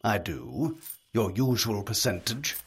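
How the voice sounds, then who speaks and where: A wry court conspirator in a medieval audio drama. He speaks in a lowish register at a leisurely, measured pace, sly and controlled. Faint music runs beneath him. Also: sing-song, breathy edge, heavily acted. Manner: measured, dryly sardonic.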